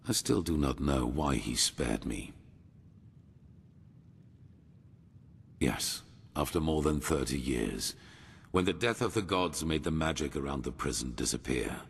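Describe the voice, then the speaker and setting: An actor playing a grave old grandmaster of a holy order in a fantasy game, speaking in a solemn, formal, measured storytelling manner. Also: male voice, extremely low pitch, lively intonation, creaky, slightly rough, full character voice. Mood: grave, reflective. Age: older. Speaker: video game character. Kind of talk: dramatic fantasy game dialogue.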